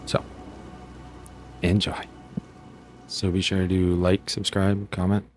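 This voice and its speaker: Low-pitched rambling man introducing a story. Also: completely flat delivery, takes it slowly, faint music behind. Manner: rambling, self-deprecating. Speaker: channel host and story writer. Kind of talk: casual rambling video intro.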